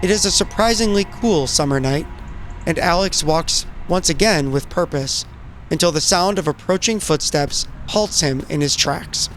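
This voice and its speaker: A man's voice: on the high side, normal speed, fairly bright tone, slight background noise. A man reading cinematic scripted narration as a storyteller. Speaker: narrator and player.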